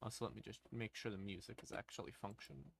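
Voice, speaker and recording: A man hosting a livestream, explaining lore casually and wryly, in halting phrases broken by pauses and emphatic stresses. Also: on the low side, steady tone, gravelly. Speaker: livestream host.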